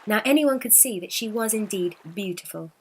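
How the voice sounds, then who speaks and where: A woman's voice: mid-range voice, expressive, moderate pace, breathy edge, low-quality recording. Woman reading a storybook with dramatic emphasis. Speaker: storyteller reading a children's picture book. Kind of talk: dramatic, expressive storybook narration.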